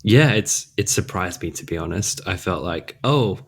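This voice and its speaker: A man's voice: deep voice, lively intonation, normal speed, gravelly, breathy edge. A man from Australia with a mild Australian accent, co-hosting a podcast in laid-back, chatty conversation. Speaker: podcast co-host. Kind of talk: casual chat.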